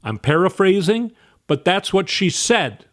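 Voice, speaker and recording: A man hosting casual political commentary, talking in an animated, exasperated and emphatic way. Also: high-pitched, unhurried.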